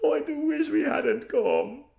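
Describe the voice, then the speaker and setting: A man doing a silly, high-pitched gushing young noblewoman. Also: wildly swooping pitch, measured pace, vocal fry, a little mellow, reverberant, highs cut off, heavily acted. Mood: terrified. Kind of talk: children's storybook character voice.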